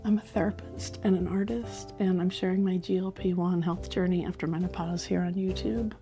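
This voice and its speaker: Hoarse middle-aged lady vlogging, mellow low-key voice, soft music under it. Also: fairly even intonation, fairly quick. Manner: candid, chatty, self-reflective. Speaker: health vlogger. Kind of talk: personal vlog to camera.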